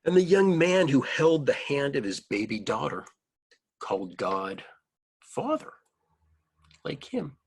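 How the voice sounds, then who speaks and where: A man reading a picture book aloud to children, adding simple explanatory asides in an expressive manner. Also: mid-range voice, slow, pauses often, slightly rough.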